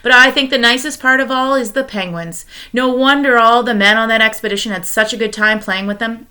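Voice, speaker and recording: Dreamy excited husband, gushing and lively, bright voice from a woman reading. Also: fairly high pitch. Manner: eager, wondering, lively.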